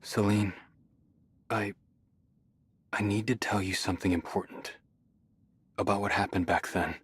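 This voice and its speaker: A man voicing a guilt-ridden, guarded young man, husky and hesitant, in an English anime game. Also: on the low side, fairly even intonation, measured pace, fairly bright tone, no echo, exaggerated voice. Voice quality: husky, breathy. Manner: hesitant, subdued, serious.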